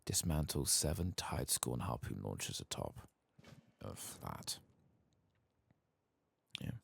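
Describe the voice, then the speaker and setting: Very deep, gravelly soft-spoken man. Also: big pitch swings, takes it slowly, no room sound. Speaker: gaming ASMR streamer. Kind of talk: soft-spoken ASMR game commentary, partly whispered. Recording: faint keyboard and clicking noise.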